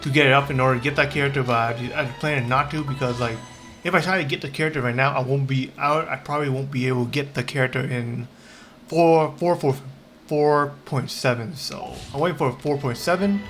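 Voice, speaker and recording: A man chatting casually as a game streamer, rambling and halting, often repeating himself. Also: medium pitch, fairly bright tone, soft background music. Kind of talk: casual livestream chat.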